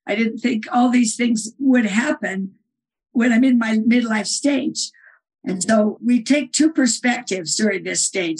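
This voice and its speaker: A woman's voice: mid-range voice, gravelly, husky, poor audio. A woman author as a guest, earnestly explaining her ideas and retelling stories in an interview. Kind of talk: conversational interview answer.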